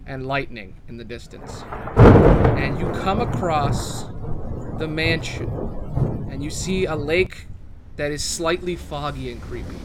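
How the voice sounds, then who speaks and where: Higher-pitched man narrating as game master. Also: very noisy. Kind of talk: narrating a tabletop role-playing game.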